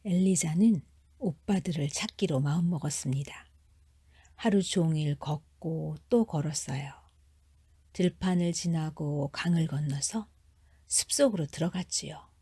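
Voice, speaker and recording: A woman reading a children's fairy tale as a storyteller, with careful, expressive narration. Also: deep voice, measured pace, husky, no room sound.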